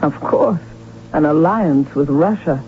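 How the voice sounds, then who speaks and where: A woman playing the loving, gently practical wife of an archduke in a radio drama, affectionate and mildly chiding. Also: low voice, lively intonation, slow, slight background noise. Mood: tender concern. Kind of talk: 1970s radio drama acting. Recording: muffled old radio broadcast recording.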